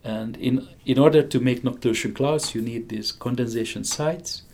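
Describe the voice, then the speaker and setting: A man's voice: mid-range voice, normal speed, a little mellow, slight background noise. A man in his sixties with a Hungarian accent, talking enthusiastically in an interview, chuckling often and restarting his sentences. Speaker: physicist interviewee. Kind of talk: conversational interview.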